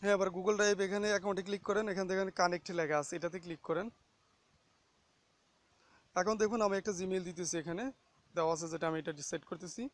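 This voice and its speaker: A man with a notably high-pitched voice presents a casual how-to. He gives instructions one step at a time and keeps checking that listeners follow. The sound is dry, with very little room echo. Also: fairly even intonation, fast talker, long pauses. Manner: instructional, often checking with "okay?". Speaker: tutorial host. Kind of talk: casual step-by-step phone app screen tutorial.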